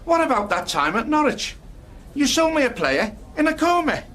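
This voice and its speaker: A man's voice: very high voice, very animated, normal speed, fairly bright tone, a little hiss or hum, heavily acted. A man playing a blunt, blustering English football manager who stammers when flustered, in comedy acting. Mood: exasperated. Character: blustering, exasperated, down-to-earth male English football club manager.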